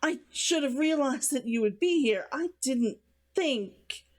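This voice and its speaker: An uneasy woman from a fantasy novel, played by a female narrator. She apologizes haltingly and hesitantly, her pitch moving in a lively way. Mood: flustered.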